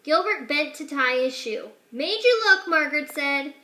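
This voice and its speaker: High bright lady reading a kids' story out loud, does the voices, echoey room. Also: a little slow, a little hiss or hum. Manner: expressive, shifts pitch and loudness for each character. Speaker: teacher reading aloud. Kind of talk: children's picture book read-aloud.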